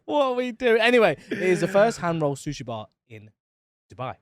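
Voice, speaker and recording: A man co-hosting a podcast, animated and quick to laugh, his voice dropping low at phrase ends. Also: no echo. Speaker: podcast co-host. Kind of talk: casual chat.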